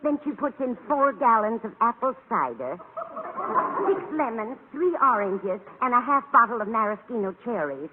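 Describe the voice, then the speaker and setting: A woman's voice: a little high, big pitch swings, moderate pace. An actress playing a sweet, forgetful older landlady who dithers absent-mindedly in an old radio sitcom. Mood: sweetly earnest. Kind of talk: 1940s-50s American radio sitcom acting. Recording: tinny, heavily noisy old radio recording.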